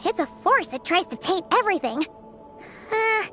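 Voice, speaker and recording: Game fairy sidekick: extremely high, animated, childlike, excitable and cheeky. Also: female voice, a little slow, heavily acted. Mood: explaining. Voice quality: squeaky, childlike. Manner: exclamatory, speaks of herself in third person. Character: small excitable floating fairy companion girl, childlike and cheeky. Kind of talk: video game voice acting. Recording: music behind the voice.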